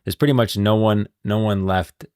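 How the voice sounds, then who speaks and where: Deep-voiced man streaming game lore. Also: steady tone, moderate pace, mellow, clean tone, no echo, studio quality. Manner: informal, thinking aloud, insistent. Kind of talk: casual livestream commentary.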